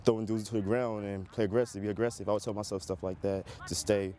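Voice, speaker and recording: A teenage man, a high school athlete, answers interview questions casually. His voice is on the low side, and outdoor street noise sits faintly behind him. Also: flat delivery, fast, dark tone. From born in Ivory Coast, Liberian family. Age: teens, high school senior. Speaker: high school football player being interviewed. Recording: clear outdoor traffic and ambient noise.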